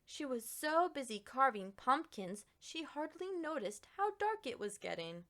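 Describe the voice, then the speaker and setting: A woman reading a children's picture book aloud as an expressive narrator, with dramatic pauses and emphasis. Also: high voice.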